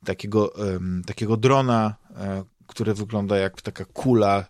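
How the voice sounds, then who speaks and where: A man's voice: lowish voice, fairly even intonation, normal speed, a little mellow, no room sound, clean studio sound. A man with a Polish accent hosting a podcast in casual chat, rambling and hesitant, often repeating words as he searches for phrasing. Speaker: podcast host. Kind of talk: casual chat about games and comics.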